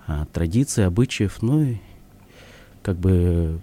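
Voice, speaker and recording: Low, hesitant man as studio guest. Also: animated, dark tone. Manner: thoughtful, hesitant, with frequent pauses. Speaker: studio guest, ensemble artistic director. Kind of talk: live radio interview answers. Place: radio studio. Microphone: studio microphone.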